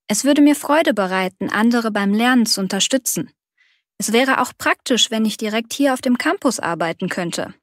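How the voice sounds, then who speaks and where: A woman's voice: on the high side, animated, normal speed, no echo, clean studio sound, close to an everyday voice. A woman reading the part of a friendly young job applicant who explains herself readily, in a scripted dialogue for learners. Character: young female applicant, a freelance writer.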